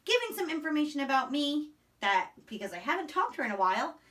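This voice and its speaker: A woman teacher talking to young children in an expressive, explanatory way, often checking in with short questions. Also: high-pitched, fast talker, far from the mic. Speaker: elementary school teacher. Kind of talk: read-aloud and lesson for young children.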